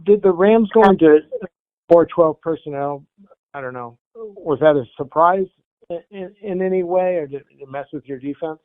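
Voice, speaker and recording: A man's voice: on the high side, moderate pace, no echo. A man asking press questions as a sports reporter, hesitant and roundabout, over a phone line. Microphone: phone conference call. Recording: narrow phone line, dry.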